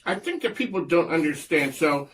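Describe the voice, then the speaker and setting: A man hosts a radio talk show in a loose, chatty way and laughs often. His voice is lively, with wide swings in pitch, while his pace stays ordinary. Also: moderate pace. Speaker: host.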